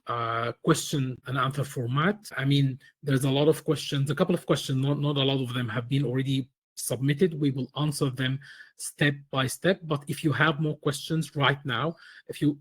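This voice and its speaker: Man, 40s, from Egypt, webinar presenter; husky, close and dry sound, hesitant delivery. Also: medium pitch, no echo. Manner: halting, many fillers and restarts. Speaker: webinar presenter, tax expert.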